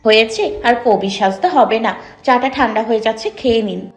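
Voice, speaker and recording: A young woman with a Bangladeshi accent playing a playful, gently pouting young wife who teases and complains mildly in a romantic audio story. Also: a little high, moderate pace, faint music behind, lightly acted. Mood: teasing.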